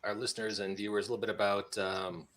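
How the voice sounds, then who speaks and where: A man's voice: on the low side, level tone, fast talker, fairly bright tone. A man hosting a podcast, asking questions in a casual interview style.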